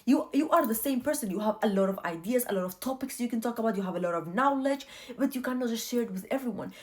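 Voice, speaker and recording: A woman's voice: on the high side, expressive, fast. A young woman whose first language is not English, talking casually to camera and giving earnest life advice, often repeating herself for emphasis.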